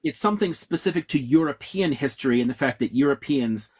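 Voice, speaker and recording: A man's voice: mid-range voice, lively intonation, fairly quick, darkish tone, slightly rough. A man giving blunt, profane answers as a guest historian in an interview, lecturing with emphatic asides.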